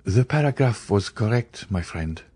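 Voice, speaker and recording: Audiobook role: earnest young journalist , read by a man, grave and compassionate, formal, deliberate phrasing, loyal friend. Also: fairly low pitch, animated, dark tone, husky, no echo. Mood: gentle, regretful. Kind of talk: dramatised audiobook reading.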